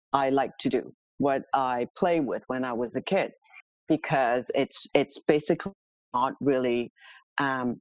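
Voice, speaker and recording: A woman's voice: very deep voice, a little mellow, no room sound, rough recording. Middle-aged woman from Hong Kong, dry close sound. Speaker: podcast guest, milliner. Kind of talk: casual autobiographical interview talk.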